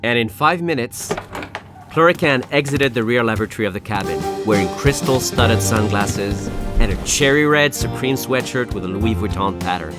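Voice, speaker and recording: Guy doing storyteller narration for an audio drama, medium pitch, loud music behind. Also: normal speed, lightly acted. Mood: measured. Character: male storyteller narrating an adventure.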